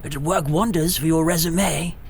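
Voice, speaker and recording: A man's voice: a little high, fairly even intonation, moderate pace, vocal fry, a little hiss or hum. A man playing a pompous, jovial supervillain in an audio drama, bombastic and theatrical with florid wording. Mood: grandly jovial.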